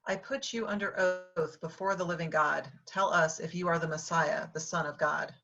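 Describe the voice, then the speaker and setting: A woman's voice: sits low, normal speed, a bit bright. A woman in her forties reading a scripted scripture part over a video call from home. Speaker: lay reader of the high priest's part. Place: home room, indoors. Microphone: video call, computer microphone. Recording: dull video-call audio.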